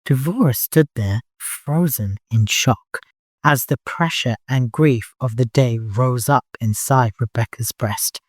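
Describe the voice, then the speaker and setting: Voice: medium pitch, slow, no room sound, studio quality. An audiobook narrator reading scripted fiction in a dramatic storytelling style.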